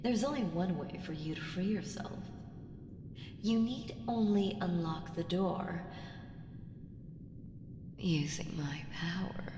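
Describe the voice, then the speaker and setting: A woman playing an ancient evil entity in an ASMR audio roleplay, coaxing and condescending, commanding and seductive, with deliberate delivery that slips into whispers. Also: fairly low pitch. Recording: roomy long reverb with clear background noise.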